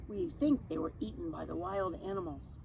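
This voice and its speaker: A woman's voice: on the low side, a little fast, vocal fry, darkish tone, distant mic, dull audio, a little hiss or hum. Woman reading as a grave, fearful storybook fisherman. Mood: grave warning. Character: frightened fisherman warning of wild animals, storybook.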